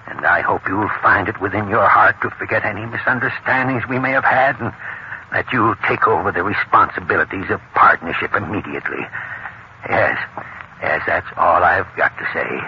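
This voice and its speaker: Humbled old businessman, formal and halting, low register, hissy vintage radio play. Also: lo-fi sound. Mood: awkward, contrite. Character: awful old businessman, stiffly humbled and contrite. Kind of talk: 1940s radio drama acting.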